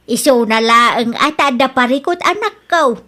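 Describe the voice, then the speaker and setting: A woman with an Ilocano Filipino accent playing an expressive, nagging middle-aged mother and storekeeper in a radio drama. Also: high voice, a little slow. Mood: worried, coaxing. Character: bossy, chatty middle-aged mother running a small store.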